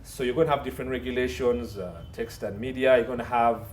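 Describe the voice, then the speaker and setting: A man's voice: mid-range voice, fairly even intonation, fast talker. A Ugandan man speaking as a legal consultant in an interview, explaining points emphatically and laying them out in lists. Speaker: entertainment and media law consultant, interview guest. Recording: roomy echo, faint background noise.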